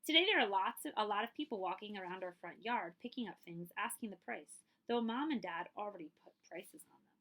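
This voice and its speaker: A woman reading a children's picture book aloud as a librarian, in an expressive, measured storytelling way. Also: medium pitch, low-quality recording.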